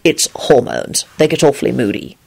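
A woman voicing a calm, stern but patient husband and father in a storybook, speaking in a measured way. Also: deep voice, breathy edge, full character voice. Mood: conspiratorial.

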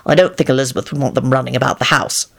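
A woman voicing a polite, apologetic man in a storybook, in a softer, lower version of her own voice. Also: fairly even intonation, very fast, creaky, slightly rough, full character voice.

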